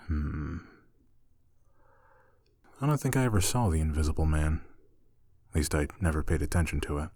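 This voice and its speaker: Deep, slow, tender boyfriend-style roommate acted by a man. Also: dry recording. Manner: soft-spoken, tender, playful teasing. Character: affectionate, teasing male roommate gently pampering a shy catgirl. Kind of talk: intimate ASMR roleplay audio.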